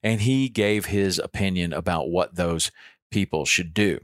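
A man's voice: sits low, unhurried, dry recording, clean studio sound. A man in his fifties hosting a podcast, talking casually on his own with frequent ums and restarts. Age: 50s. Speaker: podcast host. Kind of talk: casual solo podcast monologue.

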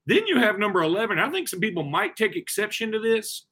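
Bright-voiced chatty male sports host. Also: sits high, quick pace, breathy edge. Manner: chatty, opinionated, animated. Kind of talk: casual sports talk.

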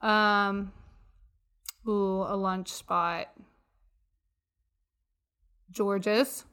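A woman's voice: medium pitch, fairly even intonation, extremely slow, fairly bright tone, clear, resonant tone. A woman from Chicago chatting casually in a group, teasing and exclamatory.

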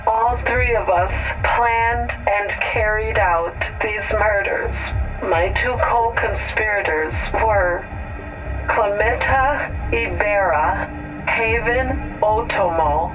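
An actress playing a remorseful woman who makes a solemn, halting recorded confession. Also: medium pitch, a bit bright, muffled recording, faint music behind. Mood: solemn, confessing.

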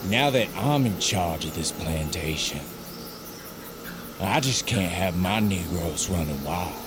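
An actor playing a cruel, gloating Southern plantation owner with a menacing, mocking drawl in an audio drama. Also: male voice, deep voice, animated, slow pace, noisy background. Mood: gloating, cold.

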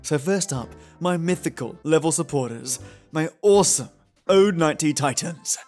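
A man's voice: on the high side, normal speed, a little mellow. A man hosting a podcast, commenting conversationally and addressing his listeners in a chummy, affectionate manner. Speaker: podcast host. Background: music.

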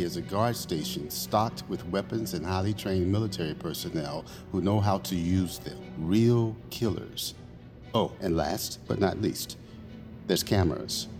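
Low-voiced, methodical escape planner. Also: male voice. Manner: deliberate, laying out details step by step. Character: gruff methodical male prisoner planning an escape. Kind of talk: audio fiction drama acting.

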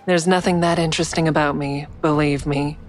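A woman's voice: low-pitched, steady tone, fairly quick, darkish tone, slight background noise, lightly acted. An actress playing a guarded, sardonic woman in an audio drama, dry and wry in dialogue and first-person narration. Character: guarded, sardonic woman, crisis counselor with secret kills.

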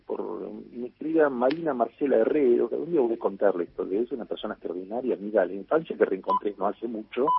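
A man's voice: lowish voice, vocal fry, slightly rough, no echo. A man with an Argentine accent, a writer answering questions on the radio, rambling with many fillers and restarts. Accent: Argentine Spanish. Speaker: guest writer. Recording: tinny phone-like line.

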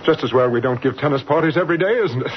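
A man's voice: fairly high pitch, quick pace, a little hiss or hum, close to an everyday voice. An actor playing a meek, henpecked English village doctor in his late thirties, polite and hesitant, in a muffled old radio drama. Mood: meek, apologetic. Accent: British. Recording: muffled vintage radio broadcast.

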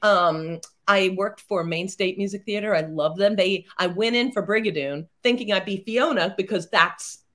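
Chatty middle-aged woman, animated. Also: medium pitch, moderate pace, few pauses. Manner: chatty and animated, rambling with frequent fillers and laughs. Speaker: theatre founder and performer, podcast guest. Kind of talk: casual interview chat.

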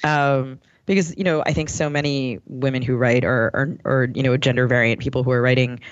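A woman co-hosting an interview podcast, speaking thoughtfully and haltingly, repeating words as she forms her thought. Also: very deep voice, fairly even intonation, no echo, highs cut off.

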